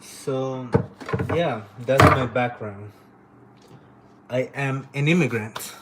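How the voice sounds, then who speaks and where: Slow-talking man from Honduras, very noisy recording. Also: mid-range voice. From Honduras, living in Canada since age twelve. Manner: informal, profane, rambling. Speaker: livestream host and painter. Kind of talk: casual livestream chat.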